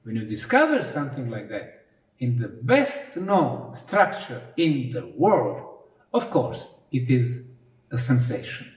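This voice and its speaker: A man from Bosnia giving an explanatory lecture as a researcher, speaking in short, deliberate, emphatic phrases. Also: mid-range voice, wildly swooping pitch. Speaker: researcher presenting his analysis. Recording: roomy echo, distant microphone.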